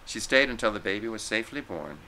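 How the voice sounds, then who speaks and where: A man's voice: fairly low pitch, animated, fast talker, pushed and bright, a little hiss or hum, poor audio. A man voicing an indignant, defensive young woman in a literary story, with pointed, succinct delivery.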